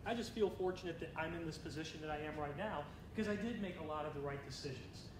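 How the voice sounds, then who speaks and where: Higher-voiced man in an echoey room. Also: fast. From Ohio. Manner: chatty, jokes with the audience. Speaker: school district administrator. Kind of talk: presentation to student teachers. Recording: echoey room from far off, low rumble.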